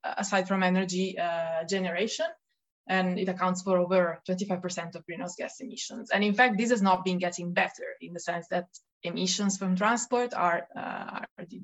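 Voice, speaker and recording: A woman in her thirties from Italy with an Italian accent, a podcast guest talking through policy questions thoughtfully, with many fillers and restarts. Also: fairly low pitch. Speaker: research fellow, podcast guest. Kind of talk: casual policy discussion.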